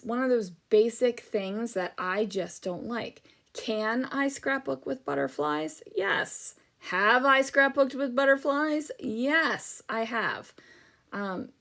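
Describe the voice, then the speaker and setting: A woman's voice: fairly high pitch, a bit bright. A middle-aged woman hosting a scrapbooking channel, chatting casually and rambling with lots of ums, her voice a little worn from talking. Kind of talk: casual crafting chat over a process video.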